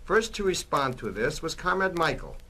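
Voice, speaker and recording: Casting: male narrator, grave and expository, very animated pitch, vintage documentary-drama delivery. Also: moderate pace, slight background noise. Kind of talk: 1960s scripted dramatic narration.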